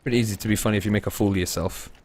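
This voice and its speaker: A young man casting an esports match, laid-back and tired between plays, rising to fast, excited calls when the action heats up. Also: lowish voice, slight background noise. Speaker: esports caster. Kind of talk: live esports commentary mixed with chatting to viewers.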